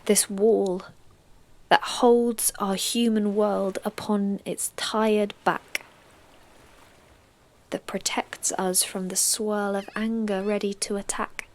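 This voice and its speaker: Very slow, deliberate woman reading poetry. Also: mid-range voice, flat delivery, darkish tone. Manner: deliberate, dramatic pauses. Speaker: poetry reader. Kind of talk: poetry recitation.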